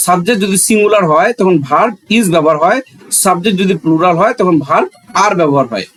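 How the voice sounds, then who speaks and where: A man's voice: sits high, lively intonation, fast talker, a bit bright. A man with a Bangladeshi accent teaching in an explanatory, didactic way, asking rhetorical questions and repeating key phrases.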